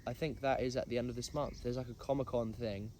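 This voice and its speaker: A young man from England, nasal from hay fever, hosting a casual solo podcast and rambling with self-deprecating asides and many pauses. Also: medium pitch, fairly even intonation, darkish tone, slight background noise. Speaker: podcast host.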